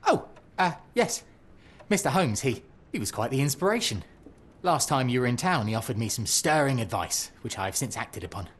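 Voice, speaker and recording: A man's voice: medium pitch, moderate pace, breathy edge. A man playing an eager, nervous, bookish fellow who speaks politely and hesitantly in a video game. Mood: flustered, cheerful. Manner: hesitant, stammering, courteous, excitable. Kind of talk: scripted video game cutscene acting.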